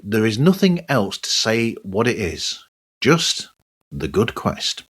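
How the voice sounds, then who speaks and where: A man hosting a podcast monologue, giving his opinions in a conversational, emphatic way with a husky voice. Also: medium pitch, a bit bright. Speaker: podcast host. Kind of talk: podcast monologue, part scripted, reviewing other shows.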